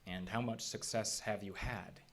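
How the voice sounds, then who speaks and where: An actor playing a skeptical, watchful park ranger with a dry, authoritative manner in an audio drama. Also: male voice, on the low side, steady tone, a little slow, fairly bright tone, slight background noise.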